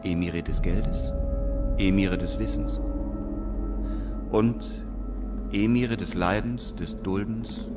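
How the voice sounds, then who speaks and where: An actor playing an earnest, humble traveller and narrator in a literary radio drama, speaking in a measured way. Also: male voice, low-pitched, loud music behind the voice. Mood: humble, reflective.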